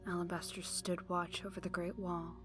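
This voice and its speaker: A woman playing a morbid, soft-spoken camper in an audio drama, with a deliberate, eerie storyteller's delivery. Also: lowish voice, level tone, music in the background, lightly acted. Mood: ominous, hushed. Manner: hushed, ominous, deliberate.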